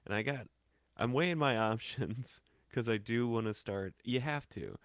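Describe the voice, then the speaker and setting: An American man from St. Louis telling casual stories as an interview guest, in slangy, animated talk. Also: mid-range voice, normal speed, clear, resonant tone, no room sound, clean studio sound. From St. Louis, Missouri, United States. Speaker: podcast guest, singer and musician. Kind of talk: casual conversational storytelling.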